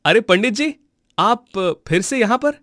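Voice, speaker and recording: A man's voice: high-pitched, lively intonation, a little slow, pushed and bright, no echo, clean studio sound, exaggerated voice. An actor playing a humble, emotional butcher in a cartoon, earnest and reverent toward his god. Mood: surprised.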